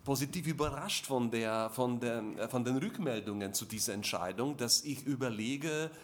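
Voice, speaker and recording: A man in his late forties whose first language is Slovak, speaking at an author panel. He talks haltingly, full of fillers and restarts, in a voice of ordinary pitch. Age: 40s. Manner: hesitant, many fillers and restarts. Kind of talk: panel discussion at a reading.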